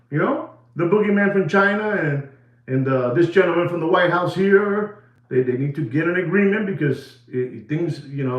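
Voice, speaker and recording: A man's voice: high-pitched, echoey room, highs cut off. New york puerto rican guy rambling on a livestream, voice jumps all over, lots of "you know". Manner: rambling, many fillers, slips into Spanish. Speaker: livestream host. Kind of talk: casual livestream chat.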